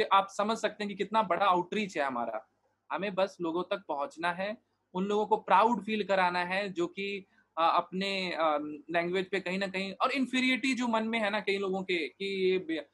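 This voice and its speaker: A man's voice: high voice, a bit bright. A man being interviewed, talking earnestly and at length, mixing English phrases in, with frequent restarts and fillers. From Bihar, India. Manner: mixes English words and phrases into his speech. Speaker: interview guest, digital marketer. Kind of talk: casual interview talk.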